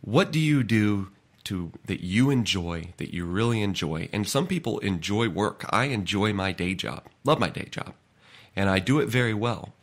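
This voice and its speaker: A podcast host with a stuffy, nasal voice from a head cold, giving an earnest, conversational solo talk. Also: male voice, lowish voice, animated, normal speed. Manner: earnest, conversational, repeats words.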